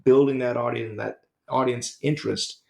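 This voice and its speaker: Guy hosting a podcast, really creaky voice, casual interview chat. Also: mid-range voice, fairly even intonation, moderate pace, a little mellow. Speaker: podcast host.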